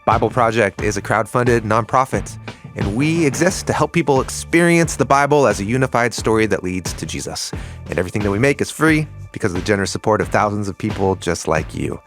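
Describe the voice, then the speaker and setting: A man co-hosting a podcast, speaking calmly with slow, soft emphasis. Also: on the low side, faint music behind. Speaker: podcast co-host. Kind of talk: conversational podcast talk and outro.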